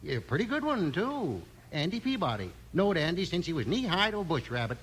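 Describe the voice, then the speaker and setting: A swooping, dithering western hotel clerk. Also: male voice, a little high, very animated, a little fast. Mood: amused, rambling. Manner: rambling, dithering, folksy. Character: slow, drowsy, easygoing small-town hotel clerk. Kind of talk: 1950s western radio acting. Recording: noisy old 1950s radio recording.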